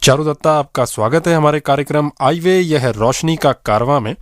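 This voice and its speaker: A man's voice: on the high side, fairly quick, soft background music. A man working as a radio interviewer, putting prepared questions to a guest.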